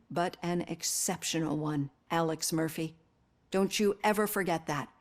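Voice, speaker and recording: An actress playing a composed, caring female psychologist in a video game, speaking in a measured, earnest, articulate way. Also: lowish voice, darkish tone, close to an everyday voice. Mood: concerned, encouraging. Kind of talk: acted video game dialogue.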